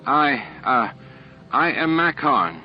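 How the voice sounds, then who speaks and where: A man voicing a pedantic, hesitant alien science master in an audiobook, halting and incredulous. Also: medium pitch, very animated, highs cut off, pitched above the performer's own voice.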